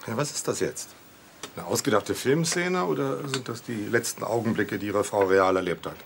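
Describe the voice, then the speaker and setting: A blunt, wry police detective, in a crime drama. His sceptical questions move up and down in pitch over clear background noise. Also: expressive, normal speed.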